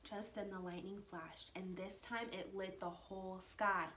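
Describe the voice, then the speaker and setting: Expressive woman reading a storybook, roomy echo. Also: mid-range voice, measured pace. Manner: animated, dramatic, with hushed asides to young listeners. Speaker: teacher reading aloud to first graders. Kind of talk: expressive children's storybook read-aloud. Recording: roomy echo with faint background noise.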